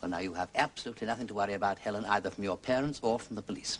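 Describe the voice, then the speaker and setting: An actor playing a genial aristocratic detective in a period drama, urbane and effusively polite, with an upper-class English accent. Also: male voice, fairly low pitch, racing, fairly bright tone, slight background noise, lightly acted. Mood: reassuring, probing.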